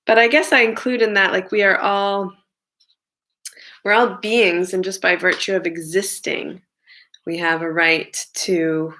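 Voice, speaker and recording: A woman's voice: medium pitch, fairly even intonation, measured pace, pushed and bright. A singer-songwriter woman chatting casually between songs at a concert streamed from her home, rambling and chatty with lots of fillers. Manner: rambling and chatty, many fillers and side remarks.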